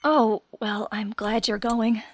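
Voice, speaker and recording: An actress playing a lonely, grieving young woman in an audio drama, with a breathy delivery. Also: a little high, steady tone, slow. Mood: awkward, evasive.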